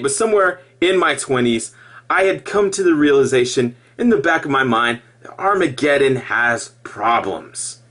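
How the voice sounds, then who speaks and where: A man giving an impassioned personal monologue to viewers, halting and repeating himself, with emphatic stresses. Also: a little high, a bit bright, slightly rough. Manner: halting, repetitive, with emphatic outbursts. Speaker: former Jehovah's Witness video blogger.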